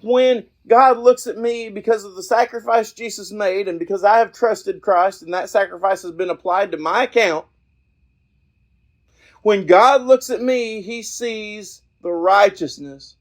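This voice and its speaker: A man with a slightly high voice speaks as a podcast host and lay preacher. His delivery is folksy and earnest, and he often halts and picks his way through the thought as he talks outdoors. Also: unhurried. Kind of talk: informal evangelistic talk.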